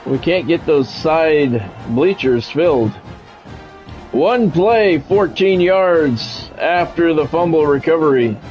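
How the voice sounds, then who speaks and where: A man's voice: a little high, expressive, slow pace, highs cut off, faint music behind. A man streaming a sports game, mixing radio-style play-by-play with casual chat.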